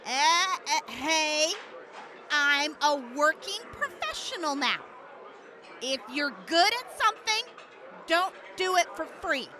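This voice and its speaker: A hot-headed young wasteland raider girl for an audio drama. Her voice is extremely high and swings widely, with a drawling, unhurried pace. She is cocky and foul-mouthed. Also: expressive, a crowd in the background. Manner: cocky, hot-tempered, sweary. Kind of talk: post-apocalyptic audio drama acting.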